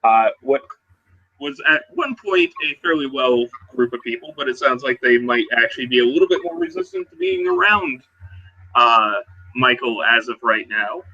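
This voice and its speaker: A man with a very bright, forward voice at a middle pitch plays a tabletop role-playing game. He moves between narration and in-character talk in a halting, conversational way, on a dull lo-fi recording. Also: wildly swooping pitch, creaky.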